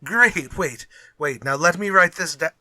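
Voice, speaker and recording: Man playing a bright, edgy radio-play writer. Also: a little high, a little slow, no echo. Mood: anxious pleading. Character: nervous, wheedling male crime novelist. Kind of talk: retro radio drama acting.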